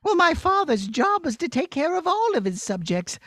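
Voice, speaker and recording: A man's voice: very high voice, sing-song, normal speed, bright, forceful tone, no echo, clean studio sound, heavily acted. A man voicing a headstrong, sheltered young princess with a breezy, demanding manner in a children's puppet show. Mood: puzzled, determined.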